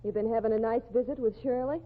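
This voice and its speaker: A woman's voice: on the high side, steady tone, fast, close to an everyday voice. An actress playing a tough, jealous woman in a 1940s radio drama, with a hard-edged, slangy way of talking. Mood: menacing. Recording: tinny old radio broadcast.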